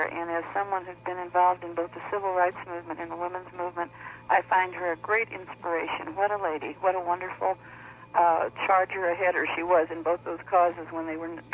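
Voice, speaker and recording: A woman's voice: fairly low pitch, flat delivery, fairly quick, rough recording. A woman calling in to a radio show over the telephone, speaking enthusiastically and admiringly. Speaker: caller. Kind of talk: call-in radio conversation.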